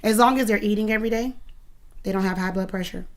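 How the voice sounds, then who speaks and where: A woman's voice: medium pitch, fast. A woman in her thirties, a dance coach, answering interview questions in an earnest, emphatic and protective way. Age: 30s.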